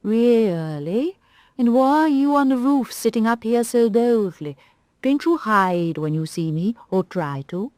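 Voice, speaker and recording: A woman voicing a proud tawny owl, a great hunter, asking slow probing questions. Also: on the high side, animated, a little mellow, no echo, clean studio sound, pitched above the performer's own voice. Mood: curious.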